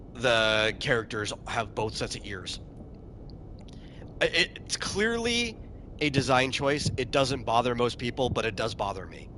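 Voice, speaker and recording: A man's voice: medium pitch, bright, forceful tone, slight background noise. A man streaming a game, chatting casually and answering his chat, often repeating a word as he starts a sentence. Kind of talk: casual commentary while playing a game.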